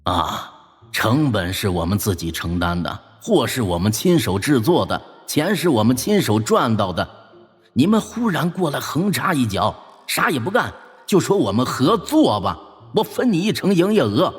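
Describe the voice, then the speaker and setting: A man's voice: medium pitch, sing-song, normal speed, husky, in a large hall. A man playing an indignant, foul-mouthed shop owner, ranting sarcastically in an audio drama. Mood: indignant, sarcastic.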